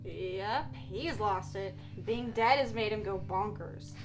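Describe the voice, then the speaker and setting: A woman's voice: mid-range voice, takes it slowly, soft background music. A woman playing a sarcastic, possessive lovesick ghost in a comedy web series, with a cutting delivery. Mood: sarcastic, smug. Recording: roomy, echoing sound.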